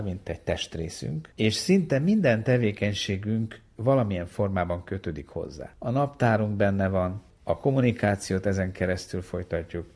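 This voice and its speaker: A man's voice: lowish voice, a little mellow. A man who is a physics professor, explaining his field in an interview.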